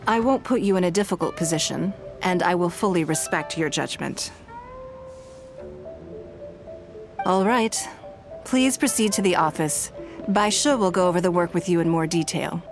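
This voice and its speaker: A poised, commanding noblewoman from a game. She speaks formally and graciously, in a lowish, steady voice. Soft music plays behind her. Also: normal speed. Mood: reassuring. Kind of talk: game voice acting.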